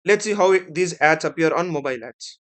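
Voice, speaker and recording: A man with an Indian accent and a somewhat high voice works through a screen tutorial. He explains each step as an instructor would. He keeps checking that the listener follows, with small tag words at the ends of his points. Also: lively intonation, a little fast, a bit bright. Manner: explanatory, checks in with "right?" and "okay".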